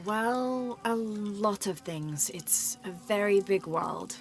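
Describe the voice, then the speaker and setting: An actress playing a thoughtful young woman adventurer in a video game, measured and sincere. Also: mid-range voice, steady tone. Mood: gentle. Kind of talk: video game cutscene acting. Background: music.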